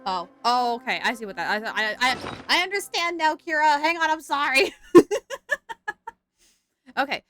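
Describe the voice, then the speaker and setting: A woman's voice: high-pitched, normal speed, long pauses, sharp tone, soft background music. A young woman streaming a game live, chatting casually and giggling with her viewers.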